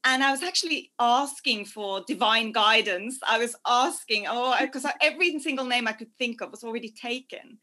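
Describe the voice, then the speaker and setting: A woman's voice: on the high side, moderate pace, hardly any pauses, bright, forceful tone. A woman from Norway, answering interview questions conversationally as a guest life coach. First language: Norwegian.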